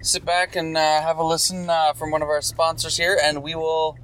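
A man's voice: fairly high pitch, level tone, moderate pace, fairly bright tone, clean tone. A man bantering casually with a friend in a moving car, joking and rambling with lots of fillers. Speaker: co-host. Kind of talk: casual chat. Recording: noisy car with road noise.

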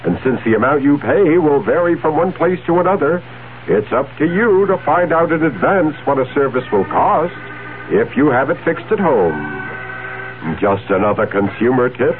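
An actor playing a helpful, reassuring consumer adviser in a 1970s radio announcement. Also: male voice, a little high, lively intonation, normal speed, faint music behind, natural voice. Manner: reassuring, instructive. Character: helpful, folksy consumer-advice man. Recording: narrow, tinny radio recording, faint noise.

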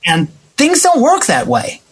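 American man, high-pitched and very lively, husky edge, emphatic explainer in casual discussion. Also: measured pace. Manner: emphatic, explanatory, repeats phrases for stress.

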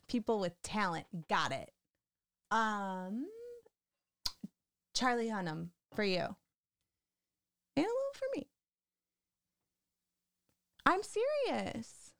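Gravelly flat-voiced woman co-host. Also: mid-range voice, extremely slow, pauses often, dry recording. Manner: laughs often, playful. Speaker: podcast co-host. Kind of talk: casual chat.

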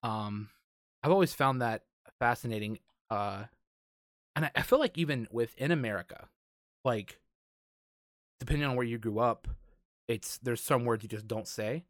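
A man's voice: medium pitch, moderate pace, pauses often, dry recording, clean studio sound. A man hosting a podcast, chatting casually in an informal, rambling way. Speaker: podcast host.

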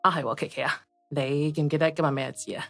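Low-voiced, nagging middle-aged mum. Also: female voice, steady tone, very fast, fairly bright tone, soft background music. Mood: playful, vain. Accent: Hong Kong Cantonese. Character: vain, chatty, nagging middle-aged mother. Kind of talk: radio drama acting.

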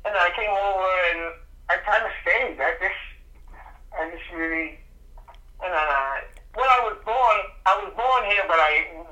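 Male comedian guest, middle-aged, raised in the Bahamas; rambling, bright-toned, muffled call audio. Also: sits high, a little slow. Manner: rambling, many restarts and fillers. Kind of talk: casual interview.

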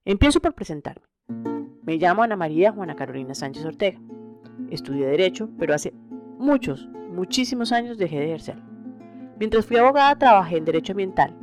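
A middle-aged woman with a Colombian accent hosting a podcast alone, reading a scripted personal narration. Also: medium pitch. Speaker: podcast host.

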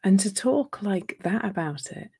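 A woman speaking as a coach in a live talk on relationships, gentle and reflective, her voice a little croaky from a sore throat. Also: medium pitch, lively intonation, measured pace.

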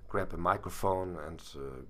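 A hoarse man hosting a solo educational podcast as a language teacher, talking in a halting way with many fillers and restarts. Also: low voice, bright, forceful tone.

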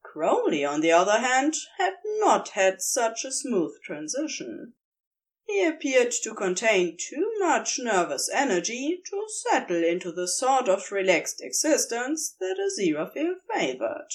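A woman narrates a story aloud in a relaxed, unhurried way, taking her time over each phrase. Her pitch moves a great deal, rising and dipping with the sentences to keep the telling lively and expressive. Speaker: podfic reader. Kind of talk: audiobook-style reading of fan fiction.

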